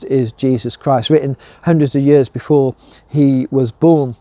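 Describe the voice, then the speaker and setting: A man's voice: medium pitch, warm tone, no echo. A man speaking as a curate, giving an informal Advent devotional message.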